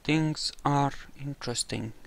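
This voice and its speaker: A man's voice: mid-range voice, unhurried, vocal fry. A man giving casual commentary while he plays through a video game in a roleplaying style. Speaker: gamer doing a roleplay playthrough.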